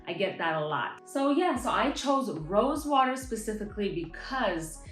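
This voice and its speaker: A woman's voice: medium pitch, very animated, moderate pace. A woman who owns a henna hair care shop, chatting enthusiastically and directly to viewers about her products. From Montreal, Canada. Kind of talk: product showcase vlog. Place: her salon and shop space. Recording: roomy space with light music.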